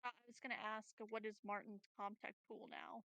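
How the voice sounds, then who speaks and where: A woman's voice: mid-range voice, little pitch movement, a little fast, fairly bright tone, dry recording, rough recording. A woman chatting casually as a player in a tabletop roleplaying game. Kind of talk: casual chat.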